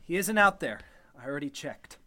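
A man's voice: on the high side, lively intonation, a little fast, sharp tone, a little hiss or hum. An actor as an urgent, suspicious radio-mystery man. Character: suspicious, take-charge man named Alan in an old-time mystery. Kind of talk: golden-age radio drama acting.

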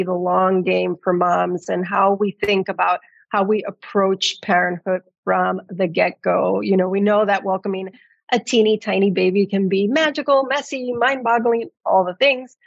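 A woman's voice: medium pitch, gravelly, no echo, highs cut off. A middle-aged American woman speaking articulately and reflectively as a guest in a conversational interview. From New York City, United States. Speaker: podcast guest, magazine editor.

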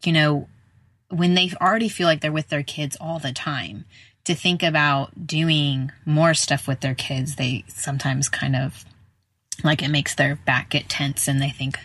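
A woman's voice: deep voice, fairly even intonation, unhurried, fairly bright tone, slightly rough, no echo. A middle-aged American woman with a chatty, informal manner, interviewing casually as a podcast host.

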